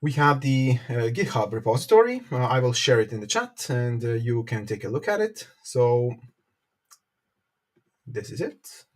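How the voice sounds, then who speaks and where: A man with a medium-pitched voice presents a live technical tutorial. He explains informally and a little haltingly, pausing often as he walks through each step. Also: sing-song. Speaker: presenter, company developer advocate.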